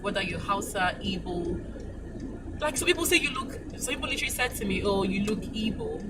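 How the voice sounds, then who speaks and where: A woman's voice: mid-range voice, expressive, a little fast, recorded from a distance. A Nigerian woman guest speaking earnestly and emphatically, repeating words as she works out her thoughts. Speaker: passenger and guest, works in law. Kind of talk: casual interview. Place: back of a moving car. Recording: noisy car, voice a little off mic.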